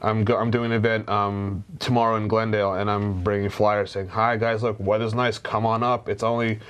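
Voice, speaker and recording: A man's voice: on the low side, level tone, brisk, a bit bright, clear, resonant tone, highs cut off. A man in his thirties, a guest in a local TV studio interview, talking eagerly and restarting his sentences often. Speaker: guest comic artist. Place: small TV studio.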